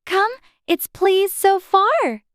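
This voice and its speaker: A prim, earnest little girl from a storybook. The voice is extremely high and childlike, with polite, inquisitive phrasing. It sounds dry, with no room echo. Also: drawn-out words, fairly bright tone, exaggerated voice. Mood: polite, curious. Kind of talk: dramatized storybook reading.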